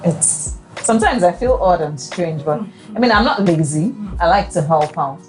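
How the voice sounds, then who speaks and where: Low-pitched woman, guest, casual and teasing, music clear behind her. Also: expressive, moderate pace. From Nigeria. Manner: chatty, light teasing. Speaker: guest, wife. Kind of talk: casual podcast chat.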